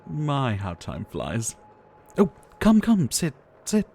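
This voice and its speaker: A man playing a gentle, ailing pharmacist doctor in an ASMR roleplay, soft-spoken and theatrical, with courteous, wistful phrasing and sighs. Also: medium pitch, wildly swooping pitch, takes it slowly, gravelly, slight background noise, heavily acted. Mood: warm, welcoming.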